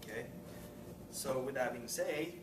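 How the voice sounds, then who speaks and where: A man's voice: medium pitch, measured pace. A man hosting a club meeting, making chatty announcements with frequent little laughs. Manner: friendly, chatty, laughs between remarks. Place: meeting room. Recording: roomy, distant mic, loud room noise.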